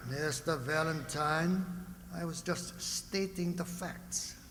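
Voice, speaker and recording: A man playing a formal, condescending psychiatrist who lectures in measured phrases in an old-time radio drama. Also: fairly high pitch, steady tone. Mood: grave. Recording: echoey hall, background noise.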